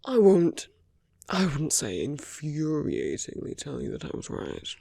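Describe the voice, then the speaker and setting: A man voicing a charming, flirtatious villain with a tender side in an intimate ASMR roleplay, soft and teasing, often dropping to a whisper. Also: on the high side, wildly swooping pitch, unhurried, gravelly, no echo, exaggerated voice. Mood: earnest, gentle.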